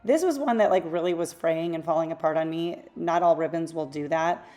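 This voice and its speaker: A woman hosting a craft channel, talking through a tutorial in a casual, rambling voice-over; her voice is a little raspy from losing it. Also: low voice, a little fast, dark tone. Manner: chatty, rambling, self-correcting asides.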